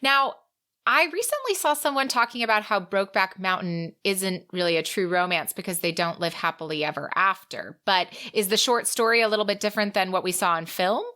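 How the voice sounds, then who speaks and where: A woman's voice: medium pitch, animated, fairly quick, pushed and bright. A woman hosting a podcast in casual chat, talking in an enthusiastic, gushing way. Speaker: podcast host.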